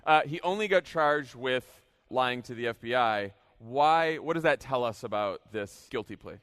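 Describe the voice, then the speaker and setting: Animated American man hosting a political panel. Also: mid-range voice, moderate pace, clean tone. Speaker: podcast host moderating a panel. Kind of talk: casual political panel talk before an audience.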